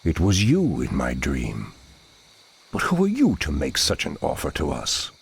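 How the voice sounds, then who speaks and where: Deep, gravelly elf druid. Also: male voice, huge pitch swings, unhurried, full character voice. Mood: wary. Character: stern, righteous male elf druid leader. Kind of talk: video game cinematic acting.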